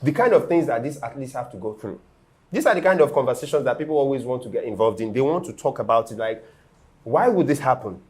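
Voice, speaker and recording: Animated Nigerian man hosting sports chat. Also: mid-range voice, fast. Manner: animated, teasing, laughs often. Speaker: host. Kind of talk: casual studio sports chat.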